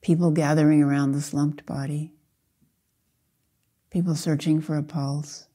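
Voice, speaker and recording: Casting: older female meditation teacher, very dark, mellow tone, soft and husky, gentle measured phrasing with long pauses. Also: low voice, little pitch movement. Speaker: Zen teacher leading a guided meditation. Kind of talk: slow guided meditation.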